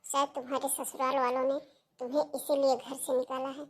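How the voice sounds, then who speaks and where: A woman voicing a gentle, persuasive motherly sister-in-law in a cartoon family drama, speaking softly, earnestly and reassuringly. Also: sits high, flat delivery, fairly quick. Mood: gently persuasive.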